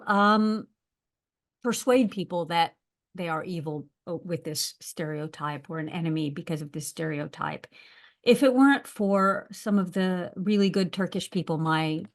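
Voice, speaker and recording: An American woman with a somewhat low voice speaks in a webinar over a video call. She talks hesitantly, pausing often on filler words. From United States. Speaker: university lecturer. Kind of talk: webinar talk.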